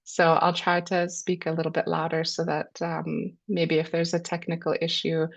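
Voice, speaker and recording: A woman with a low voice and a cold, hosting a webinar and introducing its speakers in a measured way with frequent ums. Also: completely flat delivery, creaky. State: has a cold. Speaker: webinar host. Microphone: video call. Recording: lo-fi video call audio.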